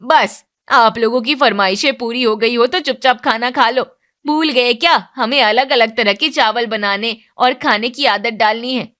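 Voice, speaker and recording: A woman's voice: on the high side, a little slow, a bit bright, pitched above the performer's own voice. A no-nonsense middle-aged mother acted by a woman narrator from a family cartoon tale. She is bossy yet devoted, speaking emphatically. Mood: scolding. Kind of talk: Hindi moral-story cartoon character.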